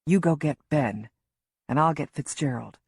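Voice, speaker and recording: A woman voicing Lewis, a soft-spoken but firm man who reassures a distraught mother. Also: a little high, unhurried, creaky, slightly rough, no room sound, studio quality, heavily acted. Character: steady male agent, worried family friend.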